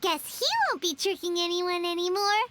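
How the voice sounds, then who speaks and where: A woman voicing a small, cheeky, chirpy fairy companion in a video game, with a squeaky childlike voice. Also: steady tone, normal speed, bright, forceful tone. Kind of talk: video game character dialogue. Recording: game music behind the voice.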